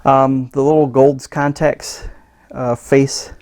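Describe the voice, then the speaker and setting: A man reviewing a radio gadget in a casual, halting, understated hands-on demo. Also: medium pitch, little pitch movement, darkish tone.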